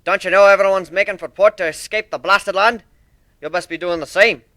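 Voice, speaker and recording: A man's voice: sits high, fairly even intonation, fairly quick, sharp tone, no room sound, voice pushed up. A man voicing a cheery, boastful Scottish minotaur with boisterous, courtly manners for a story reading. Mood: gruff welcome.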